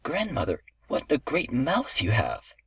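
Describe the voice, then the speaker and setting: A man's voice: on the high side, big pitch swings, a little fast, a bit bright, slightly rough, dry recording, studio quality, pitched above the performer's own voice, full character voice. A man voicing an innocent, cheerful little village girl in a storybook tale, with a sweet and polite manner. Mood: astonished.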